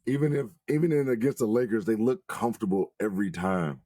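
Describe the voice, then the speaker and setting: A hoarse man who has nearly lost his voice, co-hosting a casual sports talk show with emphatic, profane opinions. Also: on the low side, fast, no echo, clean studio sound. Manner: animated, emphatic, swears freely. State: losing his voice. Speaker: podcast co-host.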